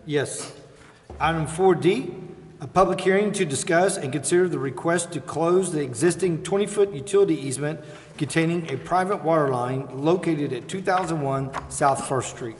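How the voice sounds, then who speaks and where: A man presiding over a city council meeting, reading agenda items and calling votes in a brisk, businesslike way. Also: fairly high pitch. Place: council chambers. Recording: reverberant chamber with faint hiss.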